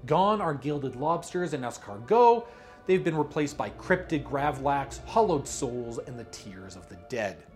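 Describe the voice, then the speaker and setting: A man reading a scripted promotional pitch as a creative director, with dramatic storyteller-like emphasis. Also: big pitch swings, measured pace, a little mellow, faint music behind.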